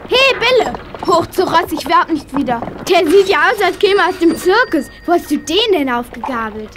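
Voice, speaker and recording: A woman's voice: extremely high pitch, very animated, moderate pace. A young female performer playing a cheeky, teasing girl in a children's radio play. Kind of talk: German children's audio play acting. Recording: noisy vintage audio play recording.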